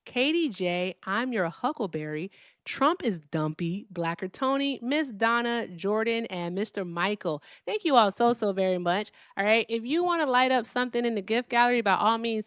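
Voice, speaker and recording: A woman's voice: on the high side, clean tone, dry recording, clean studio sound. A woman hosting a live-stream debate, mocking callers in brash, casual trash talk and sounding tired.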